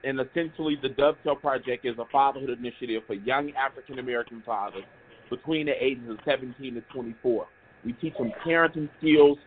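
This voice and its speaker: A man from Chicago, a nonprofit founder, giving a polite, upbeat introduction on a call. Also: mid-range voice. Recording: phone line with faint noise.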